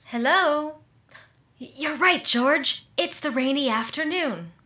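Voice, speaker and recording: A woman's voice: sits high, slow pace, pushed and bright, heavily acted. A woman playing a chirpy, meddling family friend in old-time radio comedy, blithely talkative, in an echoing room. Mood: flustered, pert.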